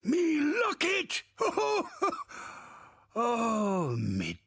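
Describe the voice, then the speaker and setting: An actor playing a bawdy, wistful old ghost in a video game, theatrical and drawling, with a gravelly, rasping voice. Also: male voice, very high voice, huge pitch swings, extremely slow, a bit bright, no echo, exaggerated voice. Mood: lustful mourning. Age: older. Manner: theatrical, salty archaic pirate talk, swooping and drawling. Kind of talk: video game character monologue.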